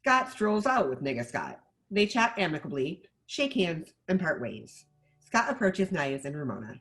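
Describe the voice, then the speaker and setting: A woman reading stage directions as scripted narration at a table read, in an animated manner. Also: fairly low pitch, normal speed, faint music behind.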